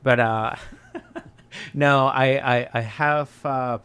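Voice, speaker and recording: A Spanish-speaking man from Colombia with a Colombian accent, chatting as a podcast guest in an animated way, laughing and restarting often. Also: medium pitch, drawn-out words, clear, resonant tone. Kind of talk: casual conversation.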